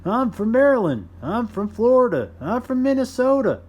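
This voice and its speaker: Man putting on high-pitched, loud storybook worker voices. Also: big pitch swings, normal speed, full character voice. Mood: eager, proud. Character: excitable cartoonish dam workers and parched farmers. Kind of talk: children's storybook character voices.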